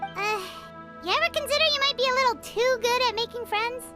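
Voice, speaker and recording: A woman's voice: very high voice, a little fast, over music. A woman voicing a small, cheerful, pushy fairy-like companion girl in a video game, with a perky manner. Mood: earnest.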